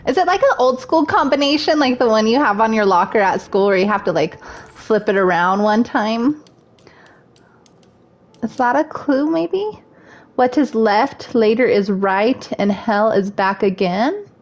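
A woman's voice: a little high, lively intonation, a little slow. Chatty woman gaming streamer with scratchy voice. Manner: chatty, thinking aloud. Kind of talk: let's play commentary.

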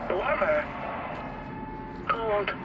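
A woman's voice: mid-range voice, animated, very slow, dull audio, noisy background. A woman voicing a cool artificial intelligence in a video game, clipped and impassive. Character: cool female artificial intelligence guiding an agent. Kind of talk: video game assistant announcements.

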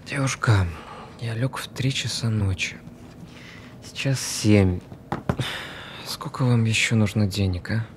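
A man's voice: on the low side, slow pace, pauses often, noisy background. An actor playing an irritable newlywed man woken early, curt and sarcastic in a naturalistic audio drama. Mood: groggy, annoyed.

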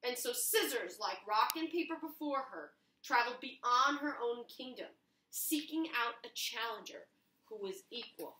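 A woman's voice: a little high, animated, normal speed, sharp tone. A young woman reading a storybook aloud expressively for children, indoors in a kitchen, recorded from across the room. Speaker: children's read-aloud teacher. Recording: roomy echo, mic at a distance.

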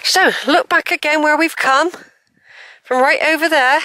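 Older woman, rambling walking commentary, slightly high voice, outdoor camera mic. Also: a little slow, sharp tone, no echo. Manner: rambling, conversational, self-correcting asides. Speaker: walking vlogger. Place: open hilly fields outdoors. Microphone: handheld camera mic while walking.

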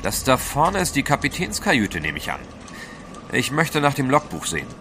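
Gentleman explorer, courteous and resolute, lively pitch swings, audio drama with noisy ambience. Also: moderate pace. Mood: calm, determined. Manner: polite, decisive. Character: determined, composed gentleman adventurer leading a polar expedition. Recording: clear outdoor background noise.

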